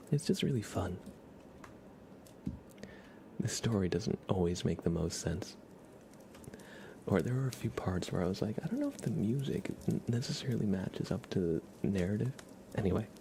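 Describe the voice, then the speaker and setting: A man hosting an ASMR livestream, chatting informally in a soft-spoken to whispered voice, rambling along. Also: deep voice, expressive, moderate pace, long pauses, a little hiss or hum.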